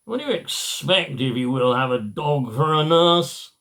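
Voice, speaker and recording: A man's voice: a little high, animated, a little slow, fairly bright tone, pitched above the performer's own voice. A man voicing a grumbling, dismissive father in a children's story, exasperated and scoffing.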